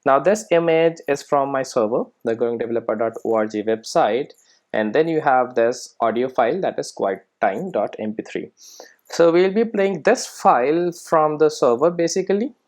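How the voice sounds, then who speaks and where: A man's voice: mid-range voice, lively intonation, moderate pace, a little mellow. A man presenting an informal screencast coding tutorial, explaining conversationally and often checking in with short tag questions. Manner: conversational, explanatory, often tagging sentences with "right?" and "okay?".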